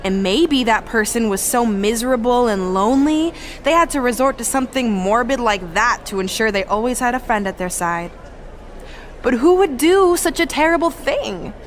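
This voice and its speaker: Actress doing a smug vindictive young lady wrestler, game cutscene, high voice, theatrical taunting, noisy audio. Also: animated, natural voice. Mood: mocking. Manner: taunting, theatrical, drawn-out emphasis. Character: vindictive young woman wrestler exposing a rival's secret. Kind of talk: dramatic video game cutscene acting.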